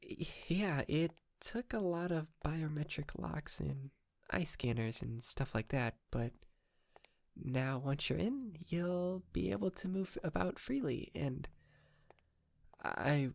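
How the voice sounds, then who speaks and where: Up close and very dry, a man plays a bashful, lovesick male kidnapper in an ASMR roleplay. He speaks slowly, with a crackly fry in his voice. He stammers and apologises, polite and awkward even while being possessive. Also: a little high, no room sound, exaggerated voice. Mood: sweetly possessive. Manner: hesitant, stammering, nervous giggles, apologetic. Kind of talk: intimate ASMR roleplay to the listener. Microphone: close microphone, dry.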